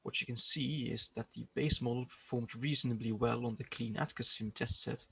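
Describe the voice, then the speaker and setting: A man giving a prepared research presentation in a measured, explanatory way. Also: mid-range voice, steady tone, vocal fry, fairly bright tone, husky, no echo.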